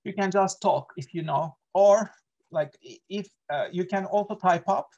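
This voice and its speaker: A man's voice: sits high, normal speed, a little mellow, lo-fi sound. A middle-aged man from Turkey with a Turkish accent, running an online workshop in an earnest way, with frequent fillers and self-corrections, heard over a video call. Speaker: workshop presenter, associate professor.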